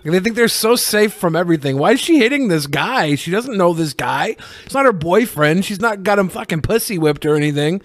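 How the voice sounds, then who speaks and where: A man's voice: high-pitched, lively intonation, a little fast, few pauses, no room sound. A man co-hosting a podcast with casual, crude comedic banter, blunt and full of swearing. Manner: profane, blunt, joking. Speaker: podcast co-host.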